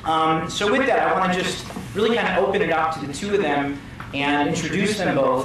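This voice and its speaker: A man in his thirties moderating a panel discussion, upbeat and friendly, at a conference room table mic. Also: mid-range voice. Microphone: table mic on stand. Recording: echoey room, mic at a distance, noisy.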